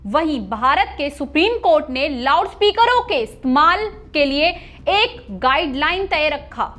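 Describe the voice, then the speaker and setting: A woman's voice: sits high, expressive, a little slow, sharp tone. A woman news anchor reading a scripted news report.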